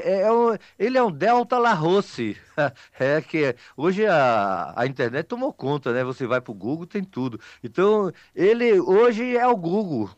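A man's voice: on the high side, no echo, muffled recording. A man with a Bahian Brazilian accent hosting local radio, chatting casually with listeners in a folksy, rambling, teasing way. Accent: Bahian Brazilian Portuguese.

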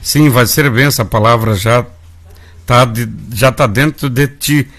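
A man's voice: mid-range voice, measured pace, fairly bright tone. A Brazilian man hosting a live gospel radio show, folksy and calling his listeners family. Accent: Brazilian Portuguese. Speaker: radio host.

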